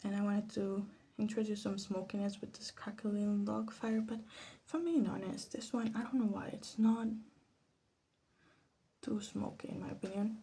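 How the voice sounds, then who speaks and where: A woman from Latin America gives soft-spoken ASMR commentary, often slipping into a whisper, in a hesitant, musing, self-deprecating way. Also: mid-range voice, steady tone, moderate pace, gravelly. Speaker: ASMR video host. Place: bedroom. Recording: faint background noise, clicking sounds.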